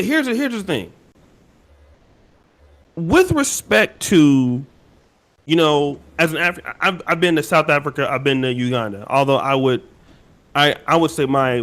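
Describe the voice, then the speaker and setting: An American man hosts a relaxed podcast chat, and his pitch sits in an ordinary middle range. He talks loosely and circles back on himself, restarting sentences and reaching for fillers as he puts questions to his guests. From United States. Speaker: podcast host. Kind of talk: casual podcast discussion.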